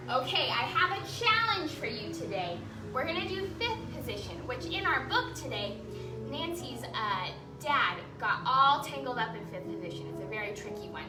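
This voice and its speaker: Young female kids' dance teacher, very animated and encouraging, recorded at a distance in an echoey room with music. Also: high-pitched, normal speed. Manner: encouraging, praising as they go. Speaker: children's ballet teacher. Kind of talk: instructing a children's dance class.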